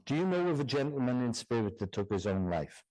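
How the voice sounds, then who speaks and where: A man's voice: mid-range voice, sing-song, brisk, dark tone, rough recording. Man giving a mediumship demonstration. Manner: repetitive, checks in often with "do you understand?". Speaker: guest medium. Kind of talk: live mediumship demonstration in a church service. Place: church.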